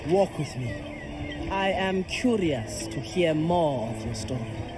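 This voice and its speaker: An actor voicing a solemn island champion in a video game, speaking formally and with measured dignity. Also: male voice, high voice, huge pitch swings, over music, full character voice. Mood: curious. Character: solemn champion and truth bearer of an island people. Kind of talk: video game character acting.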